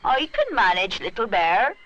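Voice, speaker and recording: A woman's voice: mid-range voice, wildly swooping pitch, measured pace, a bit bright, dull audio. A woman voicing a very old, wise, kindly granny in a children's story, folksy and knowing.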